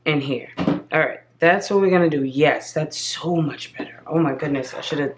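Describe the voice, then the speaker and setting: A slightly hoarse woman hosting a casual crafting tutorial, chatting viewers through each step as she works. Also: low voice, moderate pace, noisy background. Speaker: craft channel host.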